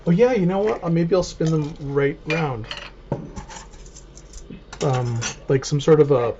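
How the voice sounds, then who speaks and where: Male home cook, slightly high voice, chatty and joking, dull lo-fi stream audio with noise. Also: measured pace. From Canada. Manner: chatty, joking, narrates his cooking steps. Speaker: cooking show host. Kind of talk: casual live cooking stream. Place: home kitchen.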